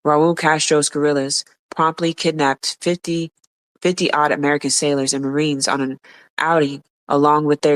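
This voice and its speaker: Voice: medium pitch, a bit bright, dry recording. A podcast co-host reading nonfiction aloud, stumbling and restarting words now and then and dropping blunt offhand remarks.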